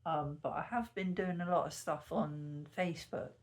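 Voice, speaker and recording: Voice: medium pitch, moderate pace. A YouTuber chatting casually to the camera at home early in the morning, meandering and self-correcting, a bit sniffly. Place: sitting at home indoors. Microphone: camera mic.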